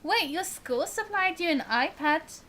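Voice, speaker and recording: A woman chatting casually and excitably while livestreaming a game, in a bubbly, giggly manner. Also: extremely high pitch, unhurried. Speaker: live streamer. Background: game music.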